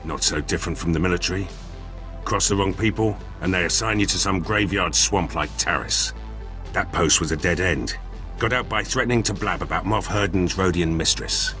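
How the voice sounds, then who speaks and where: Low-voiced wry military officer. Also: male voice, moderate pace, sharp tone, soft background music. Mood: wry, cocky. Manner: clipped, wry, matter-of-fact. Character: cynical, opportunistic male Imperial military officer. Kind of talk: video game acting.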